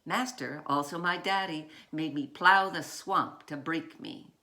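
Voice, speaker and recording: Woman reading as a proud old grandmother, unhurried. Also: low voice, pushed and bright. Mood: proud, defiant. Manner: folksy, emphatic storytelling. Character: proud, defiant old formerly enslaved grandmother telling her story.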